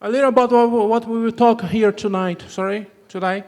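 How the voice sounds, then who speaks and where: A man's voice: high voice, fairly even intonation. A man from Brazil, a native Portuguese speaker with a Brazilian accent, giving a technical conference talk, often breaking off and restarting words and tagging lines with "okay". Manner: halting, restarts words, tags sentences with "okay". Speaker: conference presenter. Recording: hall reverb.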